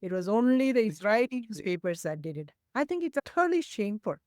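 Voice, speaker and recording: A woman co-hosting a discussion, speaking in an indignant, forceful way. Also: medium pitch, sing-song, brisk, no echo, clean studio sound. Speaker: co-host.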